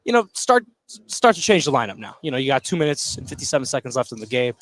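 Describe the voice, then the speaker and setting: A man's voice: mid-range voice, very animated, fast talker, fairly bright tone. An American man giving casual, opinionated color commentary on a live basketball game in a conversational way.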